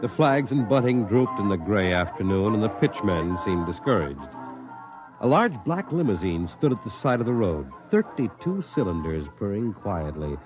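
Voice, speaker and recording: A man narrating a 1950s radio drama in a measured, literary style. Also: fairly low pitch, very animated. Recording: muffled 1950s radio recording. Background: music.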